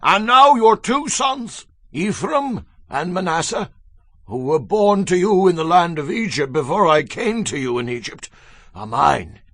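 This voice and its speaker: An actor playing a frail, dying old Hebrew patriarch in a dramatized scripture reading, tender and solemn, with pleading, drawn-out phrases. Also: male voice, high-pitched, sing-song, fairly bright tone, no echo, full character voice. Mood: solemn, reminiscing.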